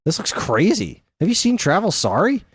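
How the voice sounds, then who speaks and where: A man's voice: high voice, very animated, normal speed, breathy edge, no room sound. A man co-hosting a podcast, chatting casually about games in an enthusiastic, rambling, informal way. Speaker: podcast co-host.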